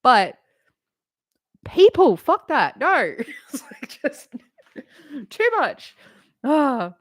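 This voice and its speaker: A woman's voice: high-pitched, very animated, extremely slow, no room sound, clean studio sound. Candid, swearing woman in her late thirties. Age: late 30s. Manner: candid and rambling, swears freely, laughs often. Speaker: streamer. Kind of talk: casual chat on a live stream.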